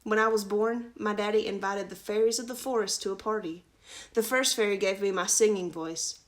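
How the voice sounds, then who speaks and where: A woman's voice: medium pitch, fairly even intonation, a little fast, close to an everyday voice. A woman voicing a young boy prince from a storybook, earnest, playful and eager.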